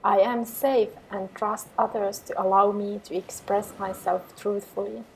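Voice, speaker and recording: A woman meditation teacher guiding affirmations in a calm, measured way with pauses between phrases. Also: mid-range voice, creaky, slight background noise, low-quality recording. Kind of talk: guided meditation with repeated affirmations.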